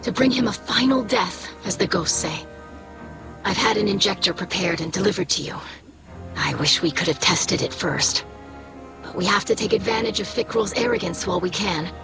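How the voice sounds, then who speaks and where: A woman's voice: mid-range voice, moderate pace, creaky, over music, natural voice. A woman voicing a dignified, grave alien leader in a video game, giving a briefing in a formal, solemn way. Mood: solemn resolve.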